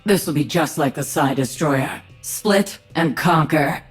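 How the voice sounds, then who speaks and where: A battle-hardened queen of an alien swarm in a video game. Her voice sits low and husky, and she takes her time over each line. She commands and mocks with dry, tough sarcasm. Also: slow, faint music behind, exaggerated voice. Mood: confident, wry. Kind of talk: video game character acting.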